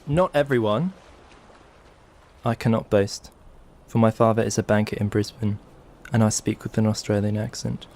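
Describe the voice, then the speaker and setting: A man's voice: fairly low pitch, moderate pace, a little mellow, close to an everyday voice. A man acting an orderly, self-effacing banker's son, speaking earnestly and with reserve in a literary drama. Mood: reserved, self-effacing.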